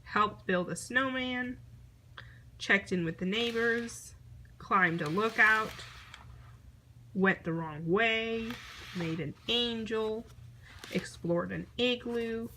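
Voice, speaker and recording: Bright-voiced woman reading a children's story. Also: on the high side, takes it slowly, long pauses, slight background noise, rough recording. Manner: expressive storytelling, acting out short exclamations. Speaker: picture book reader. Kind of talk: scripted children's story read-aloud.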